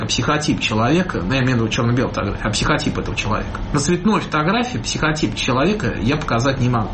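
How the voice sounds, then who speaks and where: Reflective male photographer in an interview, mid-pitched, noisy background. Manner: reflective, speaks in images, stresses and repeats words. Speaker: street photographer, interview guest. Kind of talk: interview answers. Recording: noticeable background noise.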